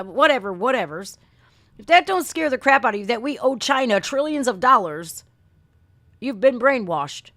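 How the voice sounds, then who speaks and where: A woman's voice: mid-range voice, fairly bright tone, no room sound. Blunt American woman with a dry, close sound. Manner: blunt, sweary, folksy slang. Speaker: reaction video host. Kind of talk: casual livestream rant and chat.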